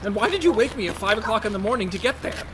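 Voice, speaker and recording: Male actor as anxious sidekick brother, high-pitched, animated, sarcastic, fretful, comic radio play. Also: rapid-fire, noisy background. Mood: worried, exasperated. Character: anxious, sarcastic younger brother, reluctant con man.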